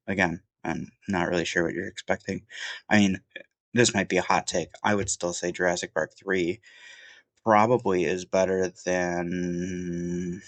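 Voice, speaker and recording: A man's voice: low voice, dry recording. A man with a cold, sounding sniffly, giving a casual off-the-cuff film review at home, rambling with lots of "um"s and restarts. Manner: rambling, many fillers and restarts.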